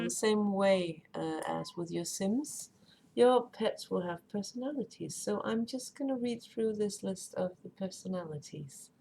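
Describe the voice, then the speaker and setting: Danish lady streaming, talks slow n halting, lots of ums, lowish voice. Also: creaky, a little mellow, a little hiss or hum. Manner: hesitant, many ums and uhs while searching for words. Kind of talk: casual live-stream chat.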